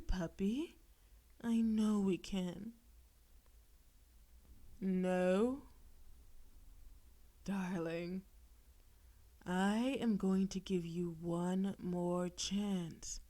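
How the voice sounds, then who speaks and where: A woman's voice: mid-range voice, warm tone, lightly acted. A woman playing a possessive, demon-possessed girlfriend in an intimate audio roleplay, sweetly condescending and teasing with veiled menace. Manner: slow, coaxing, teasing, with pet names and veiled threats.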